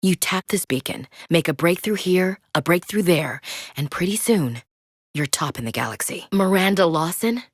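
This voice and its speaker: A frank, steady woman soldier for a sci-fi video game. Her voice sits low and she speaks in plain, dry military terms. The studio recording is close and dead dry. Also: moderate pace, breathy edge, no echo. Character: tough female human soldier, blunt and loyal. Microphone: close studio mic.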